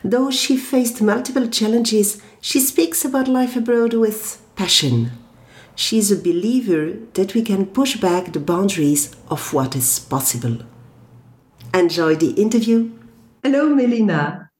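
A woman hosting a podcast, reading a measured, formal introduction before interviewing her guest. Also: medium pitch, animated, a little mellow. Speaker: podcast host. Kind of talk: scripted intro then interview. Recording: roomy echo.

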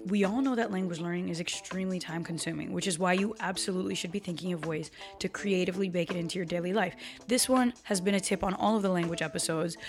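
A young woman hosting a podcast who talks with enthusiasm in a casual chat. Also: fairly low pitch. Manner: enthusiastic, upbeat. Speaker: podcast host.

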